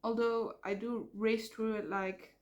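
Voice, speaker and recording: A woman's voice: on the high side, flat delivery, a little slow, darkish tone, dull audio. Woman with a Dutch accent, chatty. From Netherlands. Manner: earnest, rambling with fillers and self-corrections. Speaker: vlogger. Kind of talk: casual personal vlog talk.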